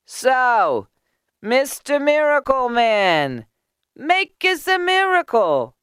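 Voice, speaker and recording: A curious, doubting townsperson, played by a woman calls out loudly in a raised, high voice. The storybook delivery is very animated. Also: extremely slow, smooth, dry recording, studio quality, heavily acted. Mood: challenging. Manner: calling out loudly.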